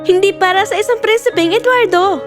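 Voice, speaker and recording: A woman playing a bold, defiant young princess in an animated fairy tale, speaking in a spirited, assertive, dramatic way. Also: very high voice, fairly quick, over music.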